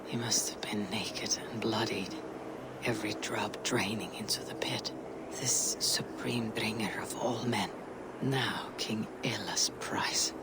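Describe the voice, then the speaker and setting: An actor playing a grave Viking explorer, murmuring a hushed, breathy monologue in a video game. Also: mid-range voice, fairly even intonation, takes it slowly, very noisy. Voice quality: breathy, half-whispered.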